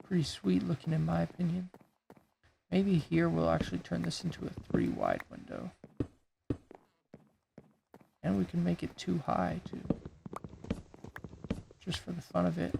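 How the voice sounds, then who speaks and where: A man with a raspy voice that is going hoarse, thinking aloud in a laid-back way while he builds in a game. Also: a little high, very animated, moderate pace, pauses often, background noise. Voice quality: gravelly, raspy. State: losing his voice. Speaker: gaming YouTuber. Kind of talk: casual gameplay commentary.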